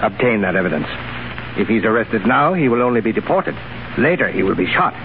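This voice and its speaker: An actor playing a curt, commanding secret police chief in a 1930s radio drama. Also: male voice, fairly low pitch, very animated, brisk. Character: cold Soviet secret police chief, man. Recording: tinny, hissy 1930s radio broadcast.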